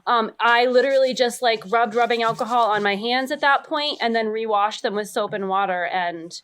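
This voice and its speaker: A woman's voice: on the high side, moderate pace, a bit bright. A woman chatting casually and comedically as a podcast panelist, in a rambling way.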